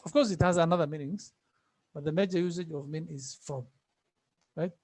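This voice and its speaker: High-voiced man teaching Arabic. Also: brisk, a little mellow. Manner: patient, repeats phrases, asks students questions. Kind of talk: online class teaching.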